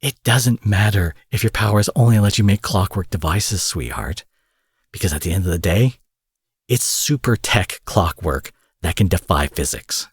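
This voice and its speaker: A man acting out a cocky, foul-mouthed young supervillain in an audio roleplay, husky, mocking and possessive, taunting his captive with drawled pet names. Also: low voice, expressive, normal speed, dry recording. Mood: exasperated, taunting. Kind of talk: dramatic roleplay monologue addressed to the listener.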